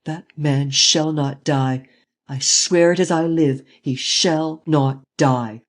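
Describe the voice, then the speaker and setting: A reader voicing a daring, commanding gentleman thief who speaks urgently and forcefully in an audiobook. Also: medium pitch, moderate pace, lightly acted. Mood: defiant. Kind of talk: audiobook reading.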